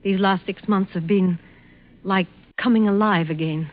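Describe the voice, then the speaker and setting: High-strung young actress type, played by a woman, mid-pitched, earnest and theatrical, on a dull vintage radio recording. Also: steady tone, unhurried, close to an everyday voice. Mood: earnest, apologetic. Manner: theatrical, emotional. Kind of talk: 1940s radio acting.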